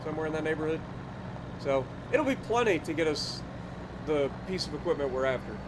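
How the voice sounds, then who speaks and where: A man's voice: on the high side, animated, brisk, background noise. A man with a stuffy, nasal voice giving easygoing commentary over his farming game, thinking aloud with fillers. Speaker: gaming YouTuber. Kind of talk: casual let's-play commentary.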